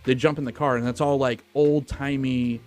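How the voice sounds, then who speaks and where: A man's voice: medium pitch, steady tone, normal speed, a little mellow. A man co-hosting a podcast, talking casually about films in a chatty, enthusiastic, sweary way. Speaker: podcast co-host. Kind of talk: casual film discussion.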